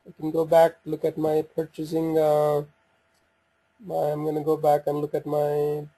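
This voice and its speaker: A man presenting an informal software walkthrough, talking haltingly as he thinks aloud while working through the screens. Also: fairly high pitch, completely flat delivery, dry recording, low-quality recording.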